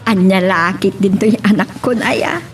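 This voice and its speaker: A woman's voice: medium pitch, moderate pace, close to an everyday voice. A woman playing a caring, hardworking mother in an amateur Ilocano family drama. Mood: tender, reassuring. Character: caring hardworking mother seeking work abroad. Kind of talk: Ilocano radio-style family drama acting. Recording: distant mic, background noise.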